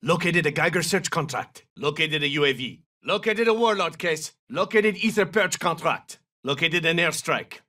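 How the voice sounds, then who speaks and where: Bright, forceful military operator. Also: male voice, a little high, lively intonation, normal speed, hardly any pauses, far from the mic. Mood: focused. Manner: clipped, terse tactical callouts. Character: confident, battle-hardened male special forces operator. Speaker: video game voice actor. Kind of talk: military shooter game callouts.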